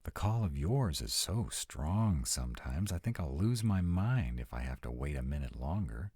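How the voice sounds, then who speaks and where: A man's voice: a bass voice, big pitch swings, normal speed, darkish tone, breathy edge, no room sound, studio quality, full character voice. A man playing a sarcastic, anxious vampire of nearly two hundred in an intimate, conversational roleplay audio. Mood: worried, intimate. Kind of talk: intimate one-sided roleplay audio acting.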